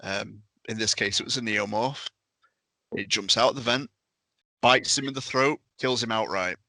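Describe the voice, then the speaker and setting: Male game master, lowish forceful voice, punchy casual storytelling, dry close sound. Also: moderate pace, gravelly, no room sound, rough recording. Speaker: podcast panellist and tabletop game master.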